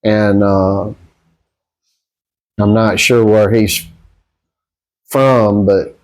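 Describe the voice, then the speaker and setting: Male vlog host, Southern US accent, lowish pitch, unhurried delivery, plainspoken and folksy opinion talk. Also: steady tone, rough recording. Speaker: boxing channel host. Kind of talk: casual rambling opinion talk to viewers.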